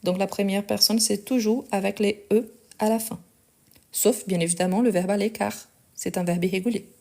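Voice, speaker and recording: A woman teaching a live online French lesson, speaking slowly and carefully, repeating each sentence and spelling out the verb endings. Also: mid-range voice, fairly even intonation, gravelly, mellow.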